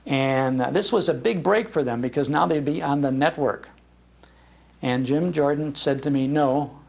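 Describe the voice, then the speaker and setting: An older American man giving an informal, anecdotal public talk in an animated, conversational manner. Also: fairly high pitch, moderate pace, creaky. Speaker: speaker on old-time radio history, broadcaster. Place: public library talk before an audience.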